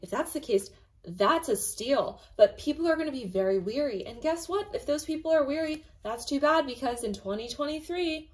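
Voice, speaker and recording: A woman with a slightly raspy voice, casually chatting through a tarot reading as the host of her own channel, full of fillers and emphatic asides. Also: high-pitched, lively intonation, moderate pace.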